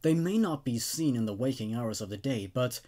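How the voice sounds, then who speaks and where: A man's voice: medium pitch, expressive, moderate pace, darkish tone. A man hosting a horror story show, moving between dramatic narration and casual review, with emphatic delivery. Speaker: host reading and reviewing horror stories.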